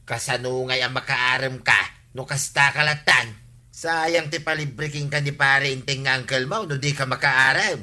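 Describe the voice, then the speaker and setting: An actor playing a meddling, cheeky older neighbor in an Ilocano comedy radio drama, hemming and coaxing in an Ilocano accent. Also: male voice, mid-range voice, steady tone, normal speed, pushed and bright. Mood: scheming.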